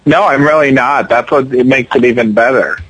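A man's voice: medium pitch, brisk, a bit bright, slightly rough, low-quality recording. An outspoken, camp man joining a crude casual chat.

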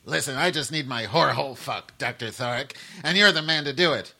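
A man playing a lewd, unhinged split personality in a dark comedy sketch, with florid, drawn-out theatrical delivery. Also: mid-range voice, gravelly, heavily acted. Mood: lewd insistence.

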